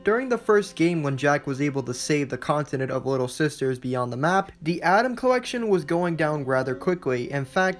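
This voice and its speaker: A man hosting a video essay, reading scripted countdown narration in wordy, awkward phrasing. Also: a little high.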